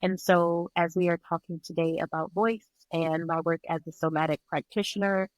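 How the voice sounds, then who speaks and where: A woman's voice: on the low side, fairly even intonation, normal speed, no room sound, rough recording. Earnest woman guest with a congested voice. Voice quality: nasal, congested. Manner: reflective, earnest, with frequent "like" fillers. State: recovering from illness. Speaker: podcast guest, breathwork and yoga instructor. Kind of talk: conversational interview.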